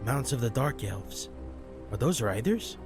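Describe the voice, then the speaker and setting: A man playing a grave, watchful ninja warrior in a fantasy audio story, speaking in measured, terse statements. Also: mid-range voice, sing-song, over music. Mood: grave, wary.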